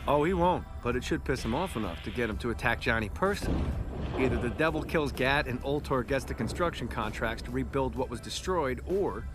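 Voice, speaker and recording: A man's voice: medium pitch, expressive, a little fast, music in the background, natural voice. A man playing a scheming corporate executive in a video game, polite and wry like a salesman. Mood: persuasive. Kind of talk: video game cutscene acting.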